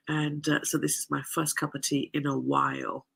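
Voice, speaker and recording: A woman's voice: deep voice, creaky, fairly bright tone, slightly rough. A woman hosting a podcast in a casual solo talk, with a nasal voice and a chatty, rambling manner full of fillers. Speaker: podcast host.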